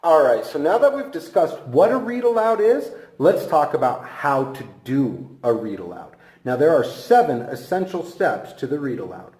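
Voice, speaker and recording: A man with a high, very lively voice teaches in an echoey room. He presents like an instructor, addressing the viewer directly. His delivery is emphatic and encouraging, with big rises and falls in pitch. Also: normal speed, few pauses. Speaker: teacher giving a training lesson.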